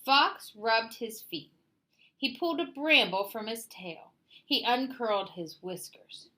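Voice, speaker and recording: A woman reading a children's story aloud with expressive, deliberate, theatrical narration. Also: on the high side. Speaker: storybook reader.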